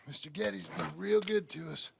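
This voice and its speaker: An actor playing a gruff, stubborn American ex-outlaw turned ranch hand in a video game, terse and plain-spoken, with a gravelly voice. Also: male voice, sits high, moderate pace, background noise. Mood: determined. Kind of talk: dramatic video game acting.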